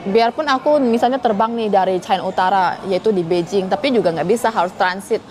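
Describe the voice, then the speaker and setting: A woman's voice: medium pitch, fairly quick, darkish tone. A young woman from Kalimantan with a Hakka-influenced Indonesian accent, chatting casually with viewers on a live stream. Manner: chatty, addresses viewers as friends.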